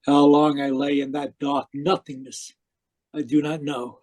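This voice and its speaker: An actor playing an obsessive, domineering uncle in an old-style radio mystery, with theatrical, emphatic delivery. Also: male voice, a little high, little pitch movement, measured pace, a little mellow. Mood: grave, haunted narration. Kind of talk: 1940s radio mystery acting, narrating and dialogue. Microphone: video call. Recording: muffled-edged online video call.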